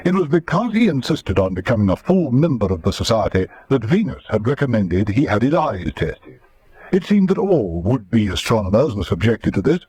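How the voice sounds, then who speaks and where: A man's voice: mid-range voice, very animated, normal speed, darkish tone, breathy edge, dry recording. A man narrating a scripted vintage radio drama in an urbane, wry manner.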